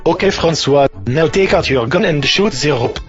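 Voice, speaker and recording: A man's voice: on the high side, lively intonation, normal speed, lo-fi sound, soft background music, lightly acted. A man voicing a bumbling, pompous police officer puppet with a stilted, literal-minded manner. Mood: bossy, slow-witted. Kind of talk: comic puppet show acting.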